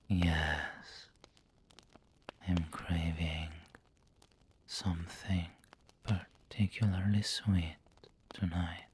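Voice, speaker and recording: A man playing a refined, doting, possessive vampire lord in an ASMR roleplay, breathy and whispery, with a genteel, coaxing manner. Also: low-pitched, darkish tone, heavily acted. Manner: slow, genteel, coaxing endearments.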